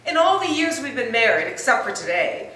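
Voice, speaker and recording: A woman's voice: on the high side, sing-song, brisk, pushed and bright. A woman playing an exasperated but reassuring wife in a stage play rehearsal. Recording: distant, very echoey rehearsal room.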